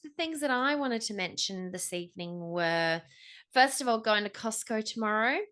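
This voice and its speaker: A woman's voice: fairly high pitch, expressive, normal speed, fairly bright tone, clear, resonant tone. A woman in her forties with an Australian accent, co-hosting a podcast in casual, jokey, slangy chat. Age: 40s. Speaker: podcast co-host.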